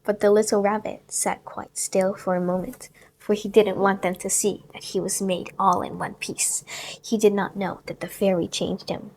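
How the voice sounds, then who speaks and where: A woman reads a children's storybook aloud, gentle and expressive. Her voice sits at an ordinary middle pitch, and now and then she lowers it to a near-whisper to draw the listener in. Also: normal speed, a little hiss or hum. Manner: gentle, expressive read-aloud, sometimes hushed. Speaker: storybook reader.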